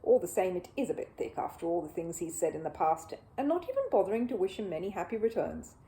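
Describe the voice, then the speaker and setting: A woman's voice: fairly low pitch, big pitch swings, fast, mellow, poor audio. A woman voicing a mild, wry, good-humoured father in a children's storybook. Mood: disapproving.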